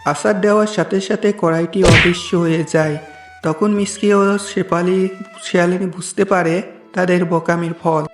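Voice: medium pitch, fairly even intonation, moderate pace. A storyteller reading a scripted fable narration for a cartoon. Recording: roomy echo with music behind.

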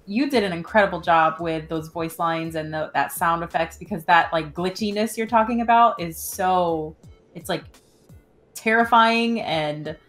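A woman in her thirties co-hosts a casual podcast from home. Her voice is a little low, and she talks in an expressive, gushing way. Faint music plays behind her. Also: measured pace. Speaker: podcast co-host. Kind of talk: casual chat. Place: small room at home, video call.

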